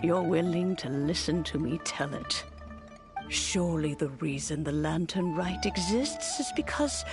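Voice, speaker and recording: A wise woman of legends, speaks fairly low and very slowly. Her storytelling is calm, solemn and gently wry, in an anime game style with music underneath. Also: heavily acted. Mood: gentle.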